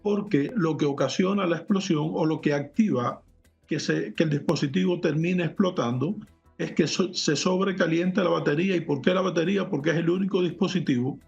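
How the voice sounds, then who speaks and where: A man speaking as a technology expert guest, explaining things in a measured, didactic and precise manner. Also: on the high side, creaky, a little mellow. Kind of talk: explanatory interview commentary. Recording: muffled lo-fi audio, music behind.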